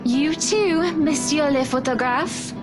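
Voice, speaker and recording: A well-bred society wife for a visual novel. Her voice sits high, with an affectionate, dramatic and fretful manner, as if used to being pampered. Music plays behind her. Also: slow. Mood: gracious, insistent. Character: anxious, pampered high-society pregnant wife, gracious and insistent.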